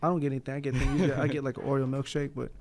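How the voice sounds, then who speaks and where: A young man talks quickly and easily in a relaxed podcast conversation, his voice gravelly and a little fried at the ends of phrases. He is slangy and profane, and he laughs as he speaks. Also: mid-range voice. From United States. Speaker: guest boxer. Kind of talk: casual podcast chat.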